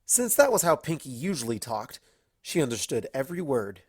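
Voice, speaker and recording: Very low-voiced, expressive woman narrator. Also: moderate pace, slightly rough. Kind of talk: lively narration of a comic fan fiction story.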